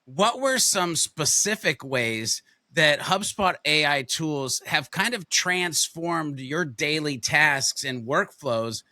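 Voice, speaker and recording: Man, show host, enthusiastic, dry close sound, bright tense tone. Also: on the high side, expressive, takes it slowly, few pauses, dry recording. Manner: upbeat, enthusiastic. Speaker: podcast host. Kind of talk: casual interview chat.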